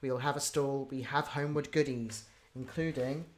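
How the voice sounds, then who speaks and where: Chatty, informal livestream host, medium pitch. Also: fairly even intonation, moderate pace. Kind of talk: casual livestream chat.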